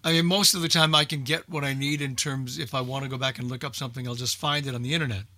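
A man's voice: mid-range voice, steady tone, fast, sharp tone, no echo. A man chatting casually in a group as a radio show host, talkative and folksy in his exclamations.

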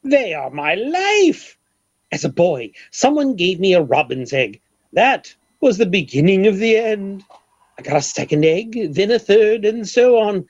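An actor playing a pompous, eccentric millionaire obsessed with collecting eggs, with flowery theatrical delivery in old-time radio comedy style. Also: male voice, sits high, big pitch swings, measured pace, a little mellow, exaggerated voice. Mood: anguished obsession. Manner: theatrical, grandiloquent, flowery formal speech. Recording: dull old radio recording.

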